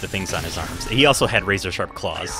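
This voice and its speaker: A man co-commentating on a video game with dry, deadpan jokes in casual chat. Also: sits low, a little fast, over music.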